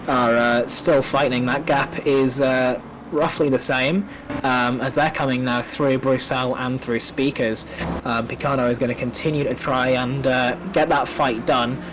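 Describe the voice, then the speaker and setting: A man's voice: mid-range voice, noisy background. A man doing live play-by-play commentary on a sim race, slightly nasal from a coming cold, with many fillers and restarts.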